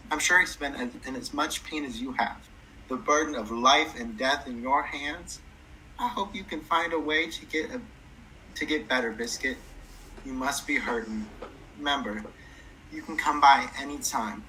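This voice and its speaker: A woman's voice: a bass voice, moderate pace, sharp tone, slight background noise, poor audio. A woman playing an ailing, chatty elderly neighbour in a radio play, with a folksy, motherly, fussing manner. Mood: tender, chatty. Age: older.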